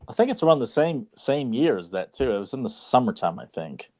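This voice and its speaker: A man's voice: mid-range voice, very animated, fairly quick, darkish tone. A man co-hosting a podcast in casual chat, laid-back and teasing, with plenty of filler words. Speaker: podcast co-host.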